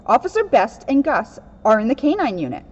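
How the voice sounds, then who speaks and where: A woman reads a children's story aloud as a police officer. Her voice sits a little high and moves with very animated intonation. The recording is slightly dull and lo-fi. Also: brisk. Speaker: police officer reading a picture book. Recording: dull, lo-fi sound.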